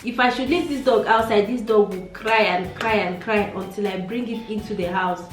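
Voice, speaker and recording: A woman's voice: medium pitch, normal speed, echoey room, recorded from a distance, soft background music. A Nigerian woman in her late twenties with a Nigerian accent, chatting casually in a get-to-know-me vlog, musing aloud and repeating herself. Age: late 20s. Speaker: beauty vlogger.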